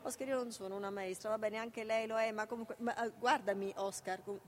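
A woman's voice: on the high side, fairly even intonation, brisk, a little hiss or hum, natural voice. An actress playing a proud, protective mother and teacher, correcting and interrupting in a naturalistic radio drama. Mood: proud, insistent. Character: proud, sharp-tongued mother and schoolteacher, protective, correcting her son.

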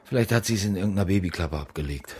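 An actor playing a probing, weary police detective in a radio crime drama, with a husky voice and terse, sardonic questions. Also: male voice, deep voice, lively intonation, very fast, darkish tone, slight background noise. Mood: weary, pensive.

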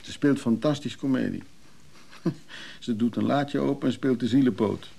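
Sly go-between, radio drama: dark, gravelly tone, dry, casual, cool. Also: male voice, mid-range voice, normal speed. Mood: dry, dismissive. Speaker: actor in a radio play. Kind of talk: naturalistic Dutch radio drama dialogue.

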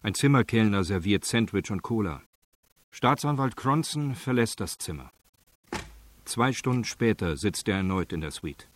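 A man's voice: lowish voice, lively intonation, normal speed. A man narrating a radio crime drama, setting scenes in a factual, scripted manner.

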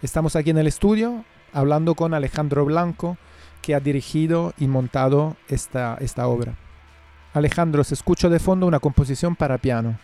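A male radio host from Spain. His mellow, slightly high voice presents and questions in articulate, measured phrases in a studio. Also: soft background music.

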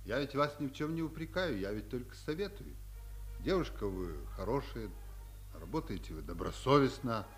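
A man's voice: mid-range voice, expressive, moderate pace, close to an everyday voice. An actor playing a fussy, officious but fatherly army doctor in a 1950s Soviet radio play. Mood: fussy advising.

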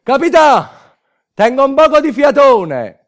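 A man acts out a trapped young male footballer in a stage monologue, breathless and polite. The voice is raised high and loud, with a southern Italian accent and simple, naive manner. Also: big pitch swings, slow pace, heavily acted. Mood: gasping, puzzled.

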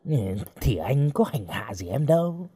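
Audio-story villain played by a man: lecherous thug, slow and oily, husky, menacing. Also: medium pitch, very animated, warm tone, exaggerated voice. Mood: leering, coaxing.

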